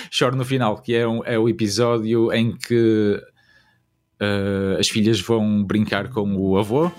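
A man's voice: on the low side, flat delivery, a little slow, a little mellow, faint music behind. A man with a European Portuguese accent casually recalling something personal in an informal, hesitant way.

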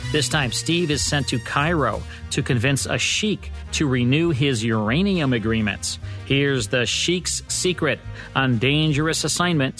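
A man's voice: medium pitch, a bit bright. A man with an American accent hosting a radio show, reading a scripted introduction. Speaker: host. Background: music.

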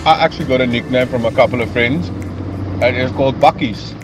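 A man's voice: medium pitch, fast. A man with a South African accent calling a radio show and telling a jokey story. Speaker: caller. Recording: phone call into radio with music.

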